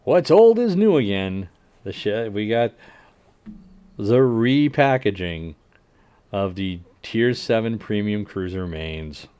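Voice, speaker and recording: A man hosting a livestream, casually reading game update notes aloud and adding wry asides that trail off quietly. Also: fairly low pitch, very animated, takes it slowly, smooth. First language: English. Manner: frequent fillers and restarts while working out numbers. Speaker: livestream host.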